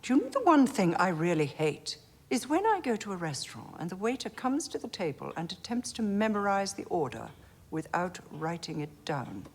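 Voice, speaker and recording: Posh english older mistress, low voice, very animated, breezy and condescending, tv drama. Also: female voice, moderate pace, slight background noise. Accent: upper-class English. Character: condescending upper-class woman, a prince's worldly older lover. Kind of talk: screen drama acting.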